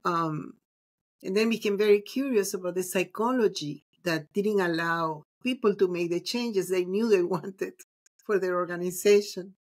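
Lower-voiced Venezuelan-born woman on a video call. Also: moderate pace. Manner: warm, reflective storytelling with frequent ums. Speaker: guest, psychologist and mindfulness teacher. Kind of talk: casual interview conversation.

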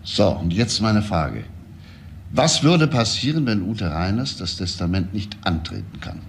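A very low-pitched male detective from a crime radio play. He is composed and persistent, putting clipped, deliberate questions. There is faint noise behind him. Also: expressive. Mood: insistent.

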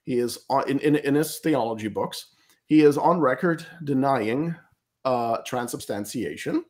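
A man in his thirties, a native German speaker, talking earnestly on a video call from a home study, emphatic and halting with frequent restarts. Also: medium pitch. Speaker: guest commentator. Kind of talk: critical theological discussion on a video call.

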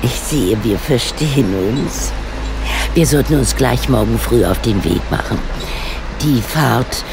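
An actress playing a shrewd, bossy older lady detective in a German radio play, with a gravelly voice and formal, self-assured, genteel phrasing. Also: a bass voice, animated, unhurried, exaggerated voice. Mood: composed, decided. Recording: clear vehicle and ambience noise.

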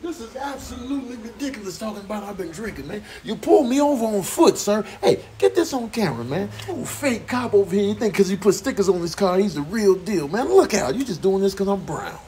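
A man's voice: high voice, animated, fairly quick, hardly any pauses, mellow, slight background noise. A man voicing an indignant animal, ranting with an aggrieved manner in a comic animal voice-over. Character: indignant animal protesting being pulled over by police.

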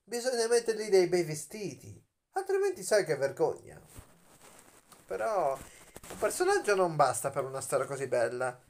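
A man's voice: high voice, big pitch swings, darkish tone. A young man hosting a video in a playful, dramatic storytelling manner, as if explaining to children, recorded on a phone in a bedroom. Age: young adult. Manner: playful, dramatic storyteller with drawn-out words and questions. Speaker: video channel host. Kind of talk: theatrical children's explainer to camera. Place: small bedroom, lying on a bed indoors. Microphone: phone at arm's length.

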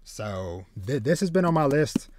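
A man in his thirties chatting casually as a podcast host, haltingly, often repeating words as he goes. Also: medium pitch, sing-song, darkish tone, a little hiss or hum. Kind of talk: casual chat about manga and anime. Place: small home room with bookshelves.

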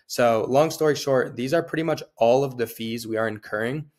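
A young man, slightly hoarse from a cold, talks at a middle pitch into a desk webcam mic in a small room. His delivery is casual and upbeat, with easy fillers as he walks through a screen-share tutorial. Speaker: online seller and tutorial host.

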